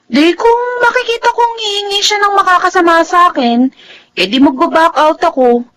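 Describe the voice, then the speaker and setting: Radio drama role: troubled young Filipina college student, high voice, earnest and plaintive. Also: female voice, normal speed, a bit bright, dry recording. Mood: reassuring. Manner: emotive, plaintive.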